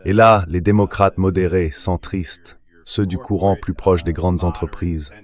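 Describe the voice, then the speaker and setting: Man, very low voice, AI-read translation, political analyst, measured and explanatory delivery. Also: fairly even intonation.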